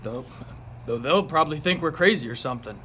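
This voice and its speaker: A fairly high, animated male voice acted by a man as a skeptical, folksy small-town neighbor. It is an amateur script reading with some room noise behind it. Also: brisk. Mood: flustered. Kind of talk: amateur read-aloud of a television script.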